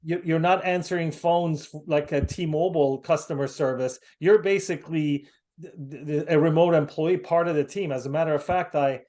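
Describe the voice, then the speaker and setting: A man from the United States hosting a casual video call, talking haltingly with many fillers and restarts. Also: on the high side, steady tone, dark tone. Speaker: host.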